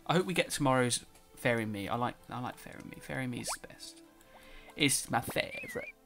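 A man's voice: medium pitch, huge pitch swings, brisk, vocal fry, a bit bright. A man giving casual let's play commentary, a bit nasal from a cold, reading game text aloud as he plays. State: slightly nasal, sore throat. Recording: faint music behind.

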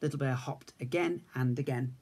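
A man's voice: mid-range voice, moderate pace, a little mellow, breathy edge. A man in his fifties with an English accent, a teacher reading a story to young children. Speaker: infant school teacher. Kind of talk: children's story read-aloud. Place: indoors, room at home.

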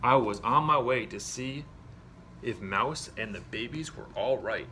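A man's voice: mid-range voice, a little slow, sharp tone, slight background noise. A man voicing a small, timid young hedgehog in a children's storybook. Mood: pleading.

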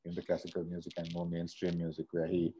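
A man's voice: low-pitched, monotone, fast, mellow, highs cut off, a little hiss or hum. A man from Sri Lanka, a singer, talking as a guest over a video call in a casual, rambling way with many restarts. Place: bright room indoors. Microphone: earphone mic on a video call.

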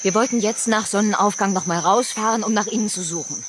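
A woman's voice: medium pitch, quick pace, noisy background, lightly acted. An actress playing a resolute, commanding woman who leads a group of survivors in a science fiction radio drama. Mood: stern, controlled. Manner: firm, commanding. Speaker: actor in a radio play.

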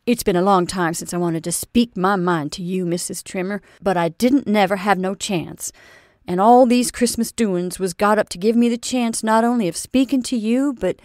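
A woman voicing an earnest old male sea captain who talks in loud, salty seafaring phrases. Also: medium pitch, dry recording, clean studio sound, natural voice.

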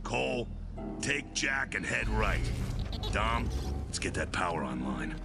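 An actor voicing a gruff, commanding, hard-bitten squad leader in a video game cinematic, gravelly and curt. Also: male voice, lowish voice, very animated, slow, heavily acted. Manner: terse military commands, curt. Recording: game music behind the voice.

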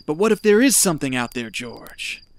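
An actor playing a greedy, callous treasure hunter in an audio drama, talking in a scheming, folksy, persuasive way. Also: male voice, a little high, big pitch swings, normal speed.

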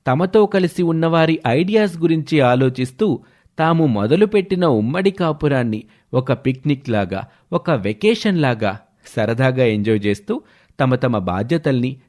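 A man narrating a scripted story as a storyteller, with expressive delivery. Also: mid-range voice.

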